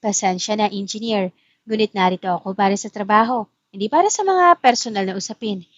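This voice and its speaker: A woman's voice: medium pitch, fairly quick, dry recording, highs cut off, close to an everyday voice. A woman voicing a cold, formal businesswoman in an audio drama, detached and professional. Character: cold, formal businesswoman, poised estranged wife.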